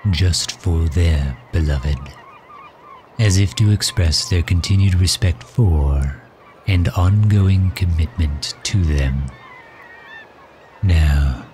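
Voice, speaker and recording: A man playing a suave, protective villain in an ASMR audio roleplay, speaking in a gentle, courtly, formal and measured manner. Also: deep voice, lively intonation, slight background noise. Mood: tender, musing. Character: suave, protective male villain doting on his captive guest.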